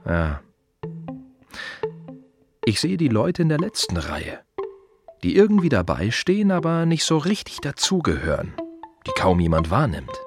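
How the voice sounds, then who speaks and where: A man playing a reflective, wry gravedigger in an intimate radio play monologue, speaking in musing fragments with a husky voice. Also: mid-range voice, huge pitch swings, music in the background. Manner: musing, short fragmentary phrases with pauses. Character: reflective male gravedigger, wry, plain-spoken, quietly observant.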